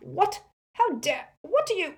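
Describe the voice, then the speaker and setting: Woman playing pompous old wizard portrait, loud, scornful, indignant, swooping pitch, storybook character. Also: very animated, unhurried. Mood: outraged. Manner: haughty, supercilious, scornful. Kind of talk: audiobook character acting.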